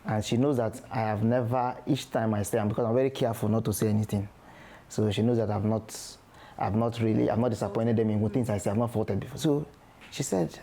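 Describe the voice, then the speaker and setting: A gravelly, dark-toned man talks through his thoughts, hesitating with plenty of ums. His speech comes slow and halting, restarting phrases as he goes. A faint hiss of background noise sits under the voice. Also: lowish voice, steady tone. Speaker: guest father. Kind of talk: candid podcast conversation.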